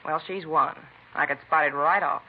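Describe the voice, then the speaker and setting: An actress playing a nosy, pushy middle-aged neighbor in a 1950s radio crime drama, talking in a brassy busybody way. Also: female voice, deep voice, moderate pace. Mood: insistent. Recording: lo-fi vintage radio broadcast with faint noise.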